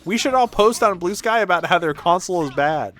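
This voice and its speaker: A man streaming casually with a fairly high, very animated voice. He talks bluntly, swears freely and sounds mock-exasperated. Faint music plays behind him. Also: a little fast. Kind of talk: casual livestream chat reacting to videos.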